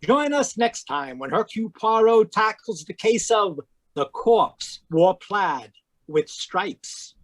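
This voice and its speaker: A man announcing in a genial, deliberate old-time radio style as he closes the show. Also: high voice, sing-song.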